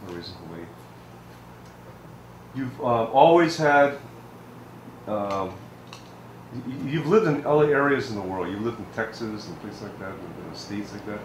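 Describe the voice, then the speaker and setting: A man's voice: fairly low pitch, lively intonation, moderate pace, long pauses. Man, interviewer, oral history, restarts often, roomy sound, faint hiss. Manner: probing, many restarts and backchannels. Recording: echoey room with faint hiss.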